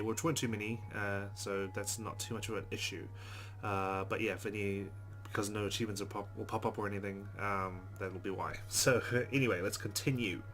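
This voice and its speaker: A man's voice: on the low side, a bit bright. A man hosting a let's play, reading visual novel text aloud and adding informal, chatty commentary.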